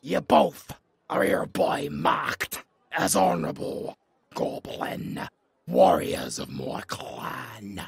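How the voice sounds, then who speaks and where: Slow, growling goblin lord. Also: male voice, mid-range voice, huge pitch swings, dry recording, clean studio sound, heavily acted. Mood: solemn, proud. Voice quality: gravelly, growling, breathy. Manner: formal, measured, solemn. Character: grave old male goblin lord, proud, gravelly, peaceable leader. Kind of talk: fantasy audio roleplay acting.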